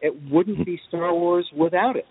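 A man's voice: high voice, normal speed, husky. A man speaking as an interviewed composer, articulate and explanatory, illustrating his points with examples. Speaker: guest film composer. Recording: dull lo-fi audio with long reverb.

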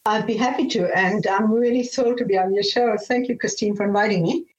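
A woman's voice: medium pitch, steady tone, fairly quick, reverberant, low-quality recording. An older woman from Namibia telling her life story as an interview guest, enthusiastic and often restarting her phrases. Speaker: guest, former airline pilot.

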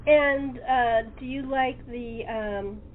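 A woman with a slightly high voice hosts a casual cast chat. She talks slowly and haltingly, and the sound is thin and phone-like. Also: fairly even intonation. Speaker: host and radio play director. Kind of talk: casual hosted cast interview. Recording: tinny phone-like line with noise.

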